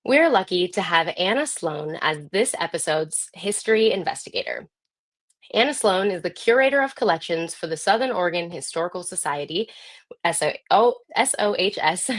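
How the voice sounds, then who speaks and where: An American woman speaking as host, reading a scripted introduction for a live online program. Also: lowish voice.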